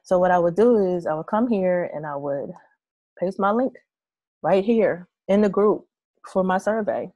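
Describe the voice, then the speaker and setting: Woman, hoarse, dark tone, hesitant, lots of fillers, dry close sound. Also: lowish voice, normal speed, dry recording, highs cut off. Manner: rambling, hesitant, many fillers. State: hoarse, losing her voice. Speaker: online business tutor. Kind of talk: casual screen-share tutorial. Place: small room with red curtains, indoors.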